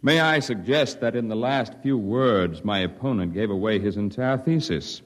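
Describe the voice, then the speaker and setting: A man's voice: on the high side, natural voice. A man playing a formal, assertive courtroom lawyer, oratorical, in 1950s radio drama style. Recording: echoey hall, 1950s radio recording.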